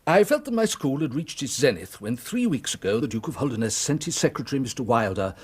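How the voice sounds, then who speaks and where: An actor playing a pompous, long-winded, easily flustered school headmaster in a period radio drama, speaking formally and with agitation. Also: male voice, mid-range voice, very animated, quick pace, husky.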